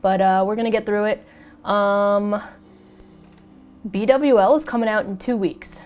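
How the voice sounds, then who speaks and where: Chatty woman, a little raspy from a cold. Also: mid-range voice, unhurried. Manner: chatty and excitable, laughs often. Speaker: podcast host. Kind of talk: casual podcast chat.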